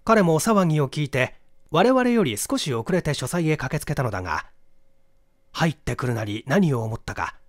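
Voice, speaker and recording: A man narrating a mystery story from a script in an expressive storytelling manner. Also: fairly high pitch, no room sound, studio quality. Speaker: audiobook narrator, stage actor.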